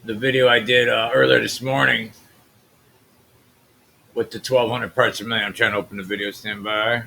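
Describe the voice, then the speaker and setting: A man from the US Midwest with a naturally deep voice, hosting a channel and talking loosely through his screen, trailing off into mutters. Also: level tone, normal speed, creaky, bright, forceful tone, slight background noise, poor audio. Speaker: channel host. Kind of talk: rambling screen-share commentary.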